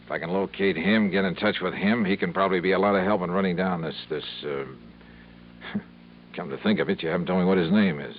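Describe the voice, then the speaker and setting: An actor playing a wry, dogged insurance investigator who also narrates, in 1950s radio drama style. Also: male voice, sits low, fairly even intonation, fast. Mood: probing. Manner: dry, needling questions. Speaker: narrator and lead. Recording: dull, hissy old radio broadcast.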